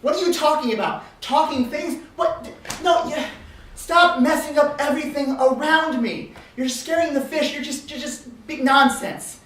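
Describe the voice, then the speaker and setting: Fed-up storybook fisher voiced by a woman, raised pitch, insistent scolding, strongly reverberant room. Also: moderate pace, fairly bright tone, a little hiss or hum. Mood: indignant. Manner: exclaiming, insistent, dismissive. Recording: very echoey room, distant mic.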